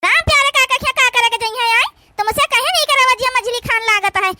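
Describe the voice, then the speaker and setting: Cartoon village mother-in-law, old and bossy, played by a woman; extremely high, sharp voice, Awadhi accent, scolding manner. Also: quick pace, heavily acted. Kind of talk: village comedy cartoon dialogue.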